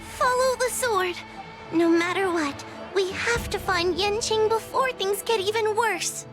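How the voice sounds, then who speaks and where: A timid little girl judge from an anime-style game. The voice is tiny and extremely high, anxious yet dutiful. Music runs underneath. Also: a little slow. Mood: resolved.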